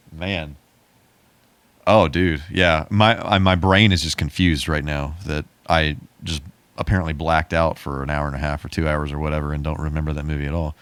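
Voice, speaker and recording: A man's voice: a bass voice, level tone, moderate pace, fairly bright tone, clear, resonant tone, no room sound. A man co-hosting a podcast in casual chat, with a jokey, mock-grandiose way of addressing listeners. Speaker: podcast co-host.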